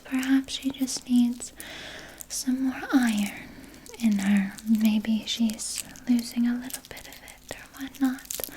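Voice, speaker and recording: Slow whispering vampire nurse, played by a woman. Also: a little high, warm tone, slight background noise, low-quality recording. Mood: coy, hungry. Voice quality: breathy whisper. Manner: slow, coy, soothing, with murmured asides. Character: sinister, doting female vampire nurse at a haunted manor. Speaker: ASMR performer. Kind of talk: whispered ASMR roleplay, personal attention.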